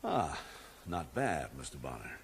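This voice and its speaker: A man's voice: rumbling low, big pitch swings, measured pace, gravelly, full character voice. An actor playing a sly, falsely genial American government agent in a radio drama, needling and evasive as he talks. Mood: probing. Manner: falsely genial, hesitant, insinuating. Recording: faint hiss on old radio recording.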